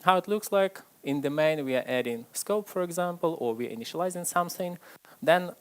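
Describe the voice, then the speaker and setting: A man's voice: on the high side, animated, brisk, clean tone. A man from Ukraine, giving a chatty, self-deprecating tech conference talk on stage to a live audience. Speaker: conference speaker.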